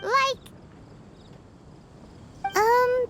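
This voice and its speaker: A woman voicing a tiny, childlike fairy companion in a video game, chirpy, cheeky and excitable, with perky exaggerated delivery. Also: drawn-out words, slight background noise, full character voice. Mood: cheeky, excited. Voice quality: squeaky, childlike. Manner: perky, exaggerated, speaks of self in third person. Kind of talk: animated video game voice acting. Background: music.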